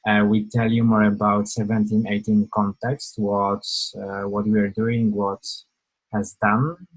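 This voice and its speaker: A young man leads an online webinar in a low, flat voice. He talks in an informal, halting way, filling pauses with "uh" and "like" and often restarting a sentence. It sounds like a video call. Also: breathy edge, rough recording. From Poland. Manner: hesitant, many fillers and restarts. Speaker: webinar presenter.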